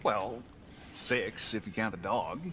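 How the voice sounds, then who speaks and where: An actor playing an easygoing, self-deprecating rebel fighter with a casual, wry manner in a video game. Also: male voice, on the low side, slow, sharp tone, long reverb tail, slight background noise.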